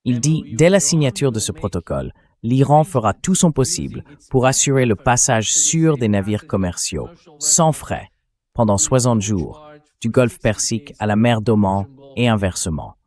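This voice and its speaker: A man reading a translated political interview as a voice-over, in a measured, explanatory way. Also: on the low side, very animated, darkish tone.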